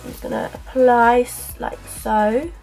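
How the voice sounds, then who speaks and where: A young woman in her twenties with a cold, chatting breezily through a makeup tutorial at home, often trailing off softer as she works. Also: a little high, little pitch movement, unhurried, gravelly, faint music behind. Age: 20s. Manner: chatty, breezy, lots of fillers, drifts softer while working. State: congested from a cold. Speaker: beauty vlogger. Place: home dressing room, indoors. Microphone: camera close in front.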